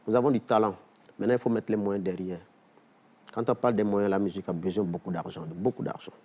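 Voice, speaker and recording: Un rappeur à l'accent togolais qui répond en entretien, avec des hésitations et beaucoup de « euh ». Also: male voice, on the low side, fast. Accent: Togolese French. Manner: hesitant, many fillers, gets excited listing names. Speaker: rapper guest. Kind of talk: casual interview answers.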